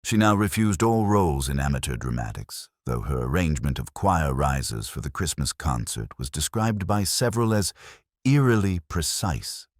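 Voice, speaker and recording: A man narrating a scripted mystery story in a measured, literary storytelling manner. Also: rumbling low, very animated, no echo, studio quality.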